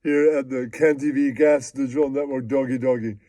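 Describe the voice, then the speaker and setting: A man's voice: mid-range voice, steady tone, brisk, mellow, no room sound, lightly acted. A man voicing a slangy, boastful, foul-mouthed puppet who repeats catchphrases in comic puppet show banter. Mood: boastful, joking.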